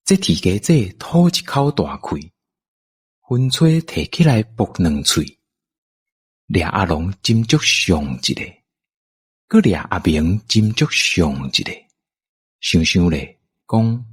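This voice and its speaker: Deep, husky Taiwanese male narrator. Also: sing-song, slow, long pauses. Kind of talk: scripted storytelling narration for radio drama.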